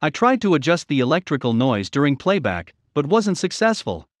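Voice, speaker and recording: A man narrating a step-by-step software tutorial in a plain, measured instructional manner. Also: fairly high pitch, sing-song, slight background noise.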